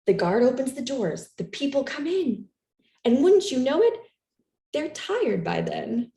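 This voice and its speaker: A woman reading a children's picture book aloud in an expressive, animated storytelling manner for young listeners. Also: high-pitched, unhurried, gravelly. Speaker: storytime reader. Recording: roomy, echoey room sound.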